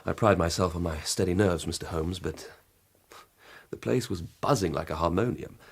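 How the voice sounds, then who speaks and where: An actor playing an earnest, proud police detective in a radio drama, formal and methodical, with a dramatic way of telling things. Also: male voice, low voice, moderate pace, creaky, slight background noise. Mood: grave, dramatic. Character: earnest, proud male police detective reporting a grisly murder.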